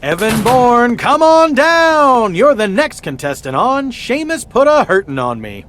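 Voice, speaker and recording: A sardonic wrestling character for a video game. The voice is high and very animated, flippant and thick with mockery. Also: male voice, unhurried, a little hiss or hum, heavily acted. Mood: mocking. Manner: mocking, sarcastic. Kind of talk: video game cutscene acting.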